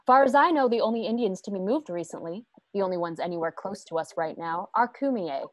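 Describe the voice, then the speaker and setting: A woman playing an outraged, principled frontier woman in a radio western, challenging others in halting, emphatic phrases. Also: mid-range voice, animated, no echo, lightly acted. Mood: scornful. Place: indoors at home, video call.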